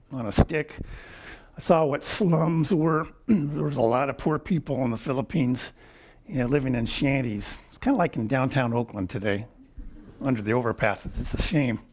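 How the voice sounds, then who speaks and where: A man in his seventies giving a lecture with personal stories, wry and chuckling, often clearing his throat. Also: medium pitch, a little mellow. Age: 70s. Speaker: guest lecturer. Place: lecture hall.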